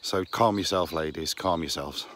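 A middle-aged man from England with a croaky voice, joking casually as he films his vlog outdoors. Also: deep voice, normal speed, fairly bright tone, slight background noise. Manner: jokey, teasing banter. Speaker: vlogger. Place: outdoors by a lake and countryside path. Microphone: phone camera held close.

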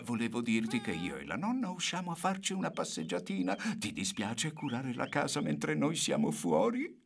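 A man voicing a kindly, doting old grandfather with a raspy voice in a cartoon dub. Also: medium pitch, big pitch swings, moderate pace, exaggerated voice. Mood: calling, fond. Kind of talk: Italian cartoon dub acting.

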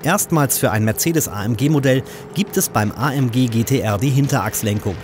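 A man with a German accent reading a scripted, brisk and technical car-review voice-over. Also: medium pitch, animated, a little mellow, slight background noise. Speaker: car magazine narrator. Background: music.

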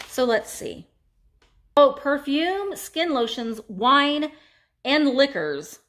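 A woman in her forties talks casually about vintage finds, rambling and correcting herself as she goes. Her voice sits a little high. She records on a phone held close inside an antique shop. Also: a bit bright. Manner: chatty and rambling, many fillers and self-corrections. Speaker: vintage reseller and vlogger. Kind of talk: casual haul vlog. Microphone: phone camera held close.

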